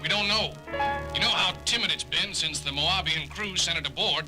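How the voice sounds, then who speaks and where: A cranky ship's doctor, voiced by a man with a fairly high voice that gripes bluntly at everyone. Music sits clearly under his lines in this 1970s record drama. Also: moderate pace, close to an everyday voice, low-quality recording. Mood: grumbling. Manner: grumbling and blunt. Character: gruff irritable male ship's doctor.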